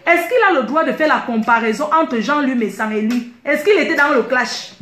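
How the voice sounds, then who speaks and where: A high-pitched woman speaks, her delivery indignant and emphatic as she keeps circling back to her points. She addresses her viewers directly. The voice rings in a reverberant room. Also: normal speed, fairly bright tone. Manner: indignant, emphatic, repeats herself. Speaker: online video commentator. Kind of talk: heated personal rant to viewers. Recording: echoey room.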